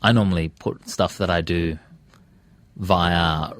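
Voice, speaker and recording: A man's voice: sits low, fairly even intonation, slow, a bit bright, dry recording. A man with an Australian accent talking casually as an interview guest, often hesitating and correcting himself as he works through his thoughts. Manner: hesitant, self-correcting, discursive. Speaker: interview guest, radio presenter and podcaster.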